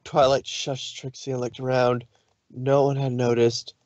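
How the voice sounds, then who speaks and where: A man narrating a scripted story, somewhat haltingly, with occasional stumbles over the words. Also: mid-range voice, flat delivery, darkish tone, dry recording, muffled recording.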